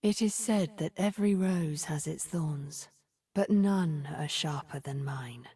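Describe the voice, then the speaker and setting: A woman playing a cunning, haughty immortal sorceress in a video game, with a breathy, husky, deliberate and condescending delivery that often drops to a whisper. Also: deep voice, mellow. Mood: haughty, menacing. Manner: theatrical, deliberate, silky condescension. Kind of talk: video game character voice acting. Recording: music behind the voice.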